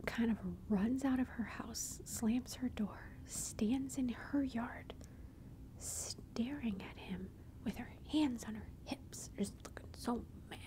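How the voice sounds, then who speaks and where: A woman telling a personal story in a soft, chatty whisper, ASMR style. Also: on the high side, takes it slowly, rough recording. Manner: soft unvoiced whisper throughout; chatty, conversational asides.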